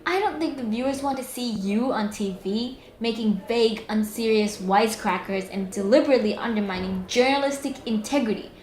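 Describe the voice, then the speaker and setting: Woman acting an indignant, high-pitched female TV journalist. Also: a little fast, few pauses, a little mellow, roomy sound, close to an everyday voice. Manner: emphatic, argumentative, articulate. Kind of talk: amateur audio drama acting.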